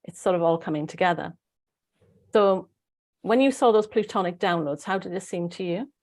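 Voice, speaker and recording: A woman's voice: fairly low pitch, moderate pace, darkish tone. A woman with a Welsh accent hosting a casual spiritual group discussion in an informal, rambling way. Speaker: host.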